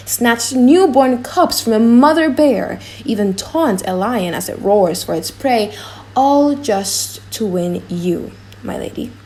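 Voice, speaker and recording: A woman's voice: mid-range voice, a little mellow, close to an everyday voice. A woman voicing a proud, ardent prince, a boastful suitor, in a solemn and declamatory audiobook performance. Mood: boastful, wistful. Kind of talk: dramatic audiobook acting.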